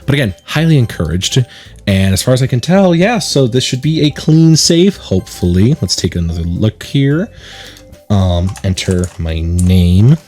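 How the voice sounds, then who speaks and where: A man talks through a game as its host in a chatty, explanatory way. His voice sits on the low side and swings around in pitch, with soft game music behind him. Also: very animated, moderate pace. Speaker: let's play host. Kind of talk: casual gaming commentary and reading aloud.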